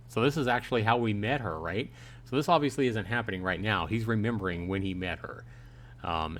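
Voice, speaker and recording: A man's voice: low voice, fairly bright tone, slight background noise. A man hosting a livestream, reading a visual novel aloud and commenting casually to his viewers. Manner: conversational, chatting with viewers. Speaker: livestream host. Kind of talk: casual game commentary and read-aloud narration.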